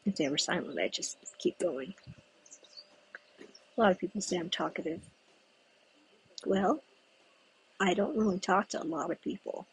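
Very husky-voiced podcaster rambling outdoors. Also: medium pitch, big pitch swings, rough recording. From United States. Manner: chatty, meandering, thinks aloud with pauses. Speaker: podcast host. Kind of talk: rambling solo podcast chat. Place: outdoors in a breezy spot with passers-by.

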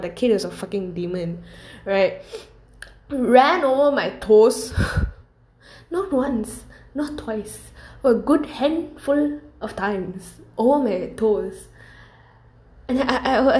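A young woman who chats in a loose, rambling way. She swears without a second thought, laughs through her sentences and keeps drifting into side stories, and her voice sits at a middle pitch. It rings a little in a roomy space. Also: darkish tone. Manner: swears freely, laughs often, rambling asides. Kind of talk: casual storytelling monologue. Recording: roomy echo.

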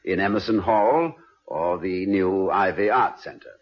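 An actor playing a genial, scholarly college president and playfully wordy husband in 1950s radio comedy style, courteous, wry and musing. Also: male voice, deep voice, measured pace, husky. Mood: wry, pleased. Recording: dull vintage radio recording.

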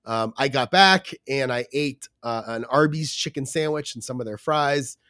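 A man's voice: medium pitch, moderate pace, bright, forceful tone, dry recording, studio quality. A man co-hosting a podcast in casual chat, his voice scratchy from a cold, rambling with fillers and restarts. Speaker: podcast co-host.

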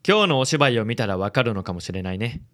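A man's voice: fairly low pitch, expressive, fast, a bit bright. A man voicing a suave, genteel businessman in a radio drama. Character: smooth, suave handsome businessman.

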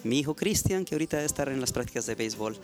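A man's voice: medium pitch, lively intonation, racing, a little mellow, faint music behind. A middle-aged man with a Mexican accent, a guest musician speaking in an earnest, heartfelt way on a live radio interview. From Mexico, Baja California.

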